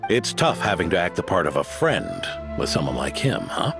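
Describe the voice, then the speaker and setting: Deep-voiced weary old knight. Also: male voice, very animated, moderate pace, over music. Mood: wry, kindly. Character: aging male knight, weary, modest, grandfatherly mentor. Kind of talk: video game voice acting.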